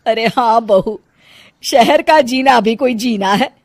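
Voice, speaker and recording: A dramatic, village-bred mother-in-law of middle age from a family cartoon acted by a woman. She complains in exclamations, with a fairly high voice and a slow, drawn-out delivery. The sound is close and dry. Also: no echo. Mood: weary complaining.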